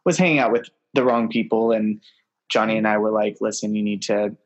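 A young man in his twenties with a slightly low voice. He tells stories in a cheerful, chatty way during a relaxed conversation. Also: a little fast, highs cut off. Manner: upbeat, storytelling, chatty. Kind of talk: casual chat.

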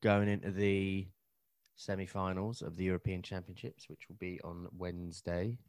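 A man's voice: low voice, flat delivery, normal speed, a little mellow, no room sound. A man co-hosting a casual podcast, swearing freely in blunt banter. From England. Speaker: podcast co-host. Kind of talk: casual chat.

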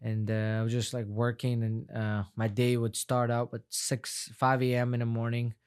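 A man's voice: mid-range voice, completely flat delivery, moderate pace, darkish tone, clean tone. A young man from Afghanistan, a non-native English speaker whose first language is Farsi, talking casually as an interview guest, rambling and repeating words in a heartfelt way. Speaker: guest fighter.

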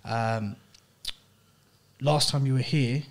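A man's voice: medium pitch, slow pace, a little hiss or hum. A man with a London accent hosting a casual podcast, talking in slang and repeating phrases to drive his point home. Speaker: podcast host.

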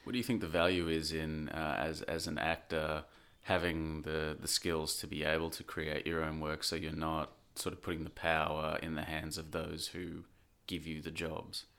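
A man's voice: a bass voice, level tone, normal speed, hardly any pauses, a bit bright, smooth. A man asking conversational questions as the interviewer, thinking his way through each one.

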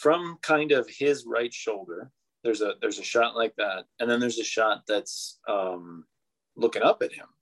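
A man's voice: medium pitch, measured pace, a bit bright, poor audio. A man in casual conversation about a classic film, thinking aloud and often restarting his sentences. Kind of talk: casual movie discussion.

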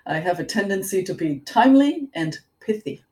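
A middle-aged woman with a German accent explaining things as an interview guest, in a measured, precise way. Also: mid-range voice, animated, a little mellow. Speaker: interview guest, executive coach. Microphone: online call. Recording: roomy echo, distant microphone.

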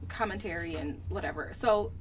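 A woman's voice: lowish voice, moderate pace. A woman co-hosting a book podcast, talking casually in a candid, opinionated, colloquial way. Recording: distant mic with background noise.

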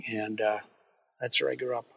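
A man from Minnesota, a guest in a podcast interview, talking in a relaxed, rambling way with many fillers. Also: mid-range voice, moderate pace, vocal fry, breathy edge, dry recording. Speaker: guest, wildfire fighter. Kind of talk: casual interview chat.

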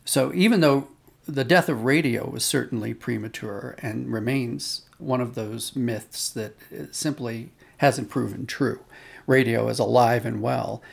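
A man's voice: mid-range voice, measured pace, vocal fry, slightly rough. A man being interviewed as an audio drama producer, explaining conversationally and telling anecdotes with quoted dialogue.

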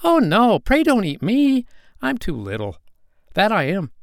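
A man's voice: high-pitched, wildly swooping pitch, unhurried, vocal fry, dry recording, clean studio sound, pitched above the performer's own voice, heavily acted. A man voicing the youngest billy goat of a storybook, a timid, pleading little creature with a small voice. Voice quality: small, thin voice. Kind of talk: storybook character voice.

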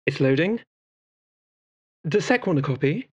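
A woman playing an excitable, friendly colleague in an audio drama, heard through a comms filter. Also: deep voice, measured pace, slightly rough, heavily acted. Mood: excited, urgent. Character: excitable, friendly clinic colleague bursting with news. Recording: dull, band-limited comms sound.

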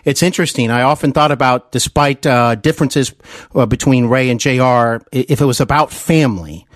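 Male interviewer, enthusiastic, wordy, halting conversational delivery, medium pitch, very dry recording. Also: dry recording. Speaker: podcast host interviewing an actor. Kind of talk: conversational interview with long, detailed questions.

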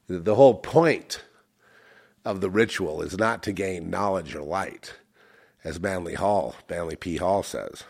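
A man's voice: sits low, measured pace, gravelly, a little mellow, slightly rough. An older man with a head cold talks in a rambling, reflective way and often stops to correct himself. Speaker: channel host. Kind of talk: casual spiritual monologue.

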